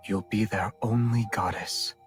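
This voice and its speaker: A man's voice: on the low side, fairly even intonation, a little slow, lightly acted. A man playing a cold, aloof immortal in a fantasy romance game, speaking tersely and with detachment. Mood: cool, detached. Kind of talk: video game voice acting. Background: music.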